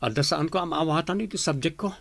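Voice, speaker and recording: Radio-play schoolboy, earnest and a bit helpless, fry in a lightish voice. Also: male voice, a little high, fast talker, background noise. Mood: pleading, earnest. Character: young male student, struggling and pleading for help. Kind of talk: audio drama acting.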